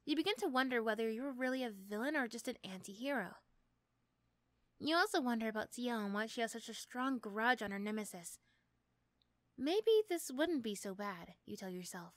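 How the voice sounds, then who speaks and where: A woman's voice: a little high, lively intonation, moderate pace, dry recording. A woman narrating a scripted second-person story for an ASMR roleplay.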